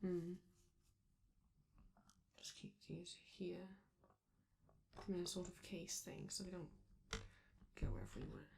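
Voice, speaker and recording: A woman voicing a flamboyant, doting robot celebrity in an intimate ASMR roleplay, speaking theatrically and affectionately with drawn-out phrases and a sometimes breathy, creaky voice. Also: on the low side, very animated, a little mellow, a little hiss or hum, exaggerated voice. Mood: gentle, attentive. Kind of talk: ASMR comfort roleplay, addressing the listener.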